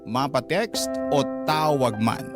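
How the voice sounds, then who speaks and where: A man's voice: mid-range voice, lively intonation, takes it slowly. A man narrating a personal letter in the first person as a radio drama storyteller. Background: loud music.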